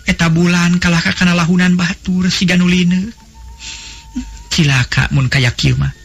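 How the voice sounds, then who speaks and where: A woman's voice: fairly low pitch, fairly even intonation, normal speed, gravelly, breathy edge, pitched above the performer's own voice. A man voicing a dreamy young princess who is wistful and easily frightened, speaking in sighs and startled gasps. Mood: startled, fretful. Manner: sighing, breathless and startled. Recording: faint music behind.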